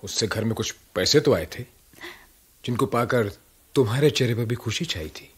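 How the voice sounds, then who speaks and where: Weary husky middle-aged writer husband. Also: male voice, mid-range voice, normal speed. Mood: resigned, wry. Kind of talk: radio play acting.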